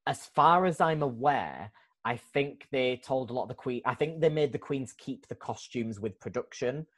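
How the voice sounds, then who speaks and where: A man hosting a casual podcast conversation, his voice swinging widely up and down in pitch. He talks eagerly and laughs often, at a middling pitch. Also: lively intonation, normal speed, a bit bright. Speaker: podcast host. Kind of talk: casual chat.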